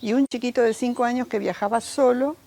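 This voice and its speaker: A woman's voice: on the high side, fairly even intonation, brisk, vocal fry, darkish tone. An older woman born in Italy, giving a documentary testimony in a reminiscing, storytelling manner. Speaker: interviewee.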